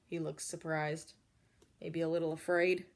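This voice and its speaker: A woman reading a children's story aloud in an expressive way, pausing to address young listeners directly. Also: low-pitched. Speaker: storybook reader.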